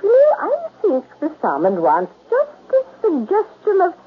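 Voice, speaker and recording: Grand aunt, wildly swooping voice. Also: female voice, extremely high pitch, wildly swooping pitch, measured pace, full character voice. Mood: savoring. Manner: theatrical, genteel, gushing. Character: grand, food-loving older aunt, cheerfully domineering. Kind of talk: 1970s radio drama acting. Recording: tinny, noisy vintage radio recording.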